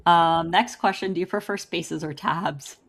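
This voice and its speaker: A woman with a somewhat low voice hosts a podcast interview. She is bubbly and upbeat, and she laughs easily between her questions. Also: unhurried. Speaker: podcast host.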